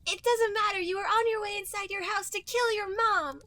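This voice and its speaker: A woman voicing an earnest cartoon schoolgirl in an exaggerated squeaky voice. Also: fairly even intonation, brisk, pushed and bright, no echo. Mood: nervous, accusing. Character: Amy, earnest high-pitched cartoon schoolgirl character. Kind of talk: acted fan-fiction dialogue reading.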